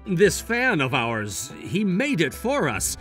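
Young anime gangster, earnest, loyal, higher-pitched, very lively intonation, music underneath. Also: male voice, takes it slowly, heavily acted. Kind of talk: anime voice acting, presenting to viewers.

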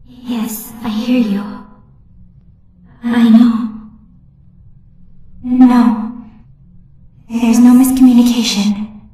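A woman voicing a scheming female rabbit animatronic, calm and quietly menacing. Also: a little high, very slow, long pauses. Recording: echoey, distant, music behind.

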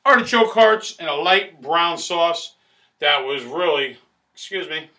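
A middle-aged man talks straight to the camera with loud, boisterous pitchman energy, circling back on the same phrases. His voice sits high for a man and cuts hard and sharp. He sounds recorded in a small indoor space on a camera mic at desk distance. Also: animated, unhurried. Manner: loud, boisterous, salesman-like, repeats himself. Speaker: food critic host. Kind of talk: restaurant review to camera. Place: small indoor studio in front of a banner backdrop.

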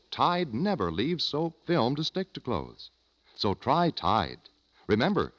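A male announcer delivers an old-time radio advertisement. His polished, emphatic pitch rises and falls in a lively way at a medium pitch. The recording is slightly muffled. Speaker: commercial announcer.

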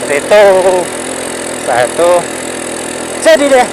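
A man's voice: high voice, wildly swooping pitch. A man riding a motorcycle, chatting casually in a loose, rambling way. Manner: rambling, repeats phrases, pauses to recall words. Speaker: motovlogger. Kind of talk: casual narration while riding. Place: outdoors on a motorcycle on a road. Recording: engine and road noise.

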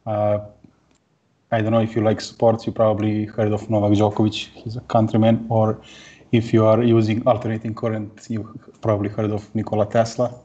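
Steady man, low and flat. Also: breathy edge, lo-fi sound. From Serbia. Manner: plain, steady, many pauses with "uh". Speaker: conference speaker. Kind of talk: tech talk presentation. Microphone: headset or laptop mic on video call.

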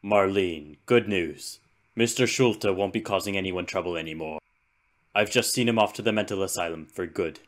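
Deep, stern witchfinder played by a man. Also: moderate pace, lightly acted. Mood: brisk, commanding. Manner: commanding, formal. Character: stern, self-righteous adult male witchfinder. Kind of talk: animated drama dialogue.

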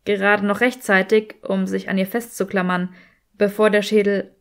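A woman reading a story as an audiobook narrator, in an expressive scripted style. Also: mid-range voice, moderate pace, creaky.

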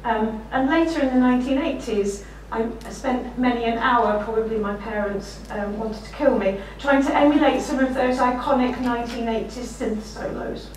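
A middle-aged woman with a slightly croaky voice, giving a conference keynote with self-deprecating anecdotes. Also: on the high side, normal speed, hardly any pauses. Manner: warm anecdotal, self-deprecating, often laughing while speaking. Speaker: keynote speaker. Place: lecture room, standing behind a desk. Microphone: room mic, far from speaker. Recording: echoey lecture room from far off.

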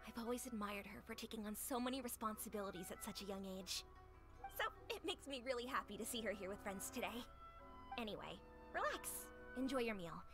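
A woman as friendly eatery owner, fairly high voice. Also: fairly quick, over music, lightly acted. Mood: confiding. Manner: easygoing, neighborly, confiding. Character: friendly, chatty woman running a humble eatery. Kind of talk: video game voice acting.

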